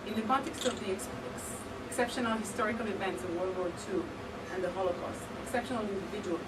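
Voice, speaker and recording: A woman with a foreign accent giving a formal speech for a foundation at a ceremony, partly read and full of fillers and restarts. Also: mid-range voice. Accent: non-native English, not Portuguese. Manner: halting, with frequent fillers and restarts. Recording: distant mic with loud room noise.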